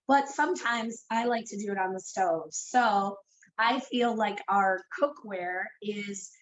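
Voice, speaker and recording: A woman with a raspy, cold-roughened voice giving a friendly cooking demonstration from her home kitchen. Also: mid-range voice, fairly bright tone, poor audio. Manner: friendly, explains each step plainly. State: hoarse from a cold.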